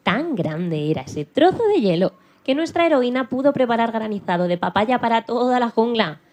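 Very animated woman storyteller. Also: on the high side, moderate pace. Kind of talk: dramatised children's story narration.

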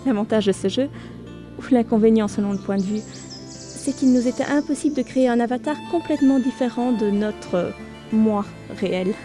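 A woman playing a novice ranger who tells her own adventure in a fantasy audio drama, measured and a little wry. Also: on the high side, dark tone, soft background music, natural voice. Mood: wry, curious. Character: young female ranger, novice gamer, wry and curious narrator. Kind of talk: first-person audio drama narration.

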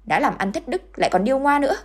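A woman voicing angry village women who loudly accuse a seller of cheating. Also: sits high, very animated, rapid-fire, pitched above the performer's own voice. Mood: furious. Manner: loud, accusing, scornful. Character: angry, loud market women customers.

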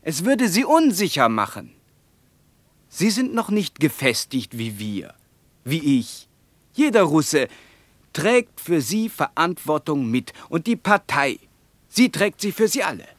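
A man's voice: on the high side, sing-song, slow, dry recording, exaggerated voice. An actor playing a zealous, scornful Soviet Russian man in a radio play, lecturing emphatically. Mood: earnest, dogmatic. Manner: emphatic, lecturing, evasive under questioning. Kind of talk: mid-20th-century German radio play dialogue.

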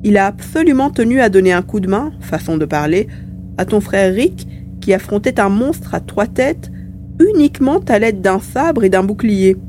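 A woman's voice: mid-range voice, lively intonation, moderate pace, mellow, soft background music, close to an everyday voice. A woman playing a small, cheerful male mouse-beaver mutant who chatters wordily in an audio serial. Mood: chatty, amused. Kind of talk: acted dialogue in an amateur audio serial. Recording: faint background hum and noise.

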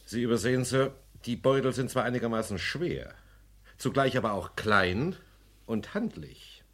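A man's voice: mid-range voice, animated, moderate pace, a bit bright. A male actor in a German crime radio play, playing a firm, matter-of-fact investigator with an authoritative manner. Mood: insistent, reasoned. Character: brisk, authoritative male investigator loyal to his men.

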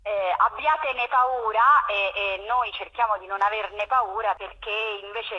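A woman's voice: mid-range voice, unhurried, breathy edge, lo-fi sound. A woman explains things informally as a radio interview guest, searching for her words as she talks over the telephone. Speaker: interview guest, theatre project organiser.

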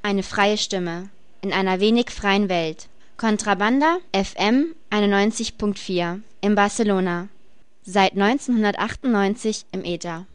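A woman's voice: medium pitch, unhurried, clear, resonant tone. A woman reading a scripted station announcement as a radio jingle.

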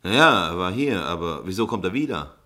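A man's voice: sits low, very animated, moderate pace, sharp tone, heavily acted. A man acting in a German radio play as a harried, impatient newspaper editor in chief who is blunt and dismissive. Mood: annoyed.

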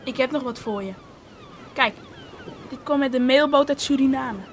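An actress playing a gently teasing, encouraging confidante in a Dutch radio drama. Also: female voice, high voice, normal speed, slight background noise. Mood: curious, encouraging.

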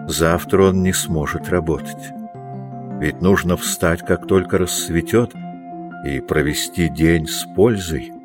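A man narrating a dramatic literary audiobook with measured, deliberate phrasing. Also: sits low. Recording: music behind the voice.

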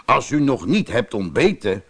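A man's voice: mid-range voice, moderate pace, husky. Een man die een slimme rijstboer in een sprookje speelt, onderdanig beleefd en listig. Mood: persuasive. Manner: deferential, polite, calmly cunning. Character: shrewd rice farmer, humbly courteous to a tiger. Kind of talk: storybook character voice.

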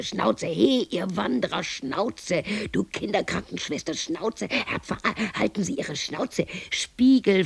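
Husky, creaky-voiced lonely old widow. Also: female voice, on the low side, no room sound, exaggerated voice. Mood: bitter, cursing. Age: 60s. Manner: muttering, brooding, erratic, halting. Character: lonely, embittered sixty-year-old widow talking to herself. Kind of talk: radio play monologue.

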